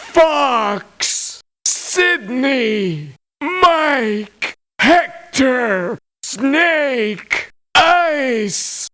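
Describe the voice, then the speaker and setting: A woman's voice: sits high, fairly bright tone, heavily acted. A woman voicing short video game clips, delivering isolated words one by one. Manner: clipped, isolated single-word delivery.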